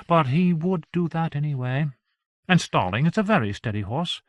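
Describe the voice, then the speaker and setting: A man's voice: a little high, no room sound, voice pushed up, lightly acted. A man voicing an earnest young wife who talks eagerly and persuasively, in a storybook reading.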